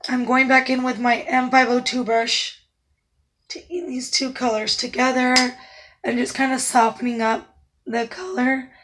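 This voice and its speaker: A woman with a naturally raspy voice that is hoarse and cutting in and out, pitched a touch high. She talks through a makeup tutorial in a calm, instructive way. Now and then she drops into soft asides while she works. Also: a little slow, a little hiss or hum, rough recording. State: hoarse, voice going in and out. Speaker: makeup artist.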